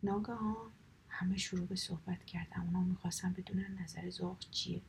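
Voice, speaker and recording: A woman narrating a children's story entirely in a soft whisper. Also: fairly low pitch, little pitch movement, creaky, slight background noise. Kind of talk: whispered bedtime story, ASMR.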